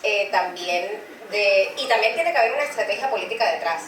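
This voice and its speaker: A woman's voice: medium pitch, animated, a little fast, fairly bright tone. Earnest woman politician, echoey and distant. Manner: argues earnestly, restarting phrases with "eh" and "o sea". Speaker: city councillor and political scientist. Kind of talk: panel conversation. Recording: echoey room, distant mic, faint noise.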